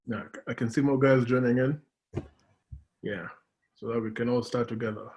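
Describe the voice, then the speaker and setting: A man's voice: medium pitch, fairly even intonation, moderate pace, a little mellow, muffled recording. A man from Kenya coordinating an online class, joking and chatting casually on a video call. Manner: joking, talks in restarts. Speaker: course coordinator.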